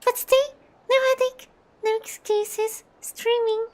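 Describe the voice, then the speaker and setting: A woman's voice: very high-pitched, flat delivery, unhurried. A woman game streamer chatting casually with her viewers as a VTuber. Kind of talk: casual livestream chat.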